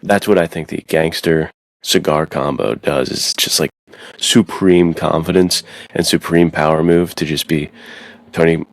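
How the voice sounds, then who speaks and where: Extremely deep-voiced man, casual podcast chat. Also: fairly even intonation, unhurried, vocal fry, no room sound. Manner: informal, conversational phrasing. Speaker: podcast co-host.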